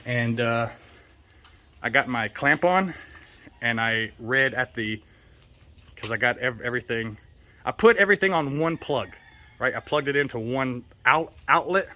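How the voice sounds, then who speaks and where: Bright-voiced, foul-mouthed American man rambling. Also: mid-range voice, lively intonation, measured pace, long pauses, lo-fi sound. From United States. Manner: profane, slangy, easygoing. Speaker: vlogger. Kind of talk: rambling casual walk-and-talk vlog.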